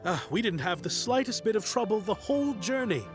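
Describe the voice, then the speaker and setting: A man's voice: high-pitched, lively intonation, fairly quick, music in the background. A man playing a chatty, deferential merchant in a video game. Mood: impressed. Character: chatty, satisfied male merchant.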